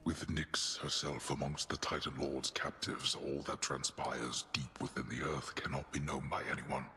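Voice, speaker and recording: A grave, booming elder god. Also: male voice, level tone, pushed and bright. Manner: formal, solemn, measured speech. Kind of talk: game character dialogue.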